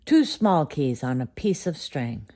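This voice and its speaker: A woman voicing a grumpy, impatient male beast in a children's bedtime story, gruff and demanding. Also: extremely low pitch, very animated, a little slow, warm tone, full character voice.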